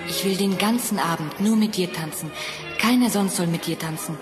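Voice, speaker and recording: A man's voice: sits high, normal speed, close to an everyday voice. Young prince for a fairy-tale record, played by a man: courtly, declaimed, adoring, music underneath. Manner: formal, declaimed. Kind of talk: German fairy-tale radio play acting. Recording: vinyl record transfer, faint music.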